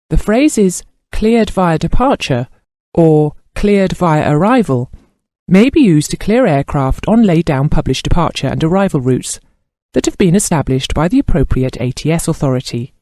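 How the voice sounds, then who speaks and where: A man reading scripted instructional narration in a formal, measured manner, like a course instructor. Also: on the high side, warm tone, no echo, clean studio sound.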